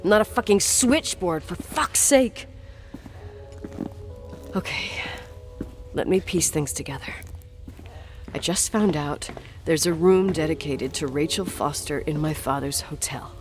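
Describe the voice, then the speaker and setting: A woman's voice: low voice, animated, a little slow, long pauses, faint music behind. An actress playing a strong-willed, defiant young woman in a video game, with an intense, emphatic, sweary delivery. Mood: bitter, irritated. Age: young adult. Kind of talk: naturalistic video game voice acting.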